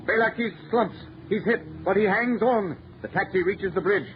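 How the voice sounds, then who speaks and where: A man's voice: sits high, moderate pace. A man delivering scripted 1930s radio mystery narration in a formal, declamatory, dramatic manner. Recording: muffled, hissy old radio recording.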